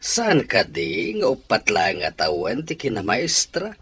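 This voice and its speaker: A husky, highly animated grudging provider. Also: male voice, unhurried, full character voice. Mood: sceptical, exasperated. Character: sceptical, grudging man pressed for money. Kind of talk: Ilocano radio drama acting.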